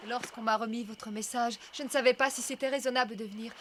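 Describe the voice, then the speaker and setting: An actress playing a young, devoted and proud bohemian woman in an animated film, earnest and melodramatic. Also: on the high side, quick pace, a little hiss or hum, natural voice. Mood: tender, adoring. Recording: loud background music.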